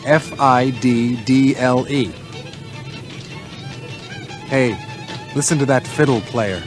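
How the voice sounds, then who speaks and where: A man's voice: mid-range voice, takes it slowly, over music, close to an everyday voice. A man with an American accent playing a friendly, upbeat driver who explains things in a scripted English-learning radio drama. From Northeast United States.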